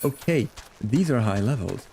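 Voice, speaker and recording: Playful male game streamer, lively pitch. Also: moderate pace, vocal fry, a little hiss or hum. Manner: playful, chatting to viewers while playing. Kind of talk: casual live game stream chat and commentary.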